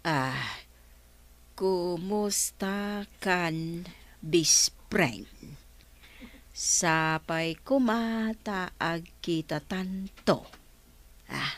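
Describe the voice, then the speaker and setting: A smitten village woman in a radio comedy drama. Her voice sits a little low and lingers extremely slowly, as if murmuring to herself.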